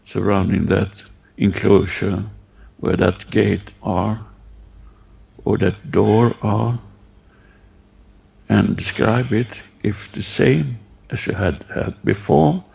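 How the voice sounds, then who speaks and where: A man leading a guided meditation, giving halting, unhurried instructions and asking gentle questions. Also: lowish voice, fairly even intonation, gravelly, husky.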